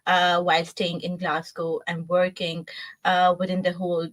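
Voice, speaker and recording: A woman's voice: lowish voice, completely flat delivery, normal speed. A woman in her twenties from Pakistan, English not her first language, answering interview questions with frequent hesitations. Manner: soft-spoken with frequent "uh" hesitations. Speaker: guest. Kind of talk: casual interview.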